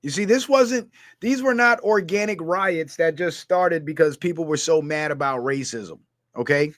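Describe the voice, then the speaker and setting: A man's voice: a little high, moderate pace, fairly bright tone, dry recording, clean studio sound. A man from Guyana ranting through a political commentary livestream, heated and blunt, reading viewer comments aloud. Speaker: streamer.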